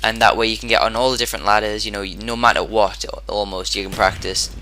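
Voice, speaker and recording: A man with a hoarse, sore-throated voice giving casual commentary over his own gameplay, rambling and often restarting his sentences. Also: on the low side, steady tone, fast, bright, forceful tone, poor audio. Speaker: gaming commentator.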